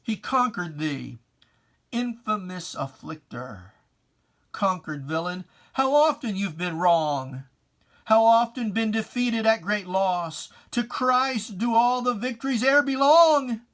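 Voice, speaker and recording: Dramatic poetry reader: unhurried, emphatic and theatrical, with preacherly old-fashioned diction and weighty pauses. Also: medium pitch, lively intonation, a bit bright. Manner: theatrical, solemn, emphatic declamation with deliberate pauses; archaic preacherly diction, addressing a foe directly. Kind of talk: dramatic poetry reading, scripted and declaimed.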